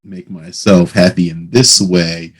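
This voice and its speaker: Guy interviewer, low husky voice, reflective, kinda halting as he talks stuff through. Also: steady tone. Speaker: interviewer, host. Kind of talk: conversational interview talk.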